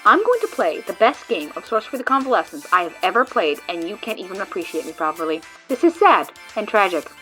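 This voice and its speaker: An excitable nerdy card gamer, voiced by a woman in an audio drama, bright and forceful with a lively, animated pitch. She rushes through rules and complaints, with soft music behind. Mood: eager.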